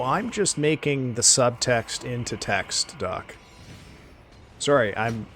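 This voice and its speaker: A man chatting casually on a live stream, excitable and thanking viewers, his voice getting hoarse. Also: medium pitch, a little hiss or hum. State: losing his voice.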